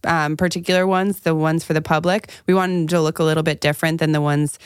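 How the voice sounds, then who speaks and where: A woman's voice: deep voice, little pitch movement, quick pace, smooth, no room sound, clean studio sound. A woman co-hosting an astrology podcast, talking in a casual, conversational way.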